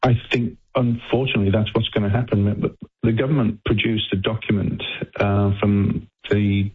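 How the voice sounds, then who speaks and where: An older man from England with a Liverpool accent, a scientist interviewed by phone, speaking haltingly and repeating words as he thinks. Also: lowish voice, little pitch movement, slightly rough. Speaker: scientist guest. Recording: tinny phone line.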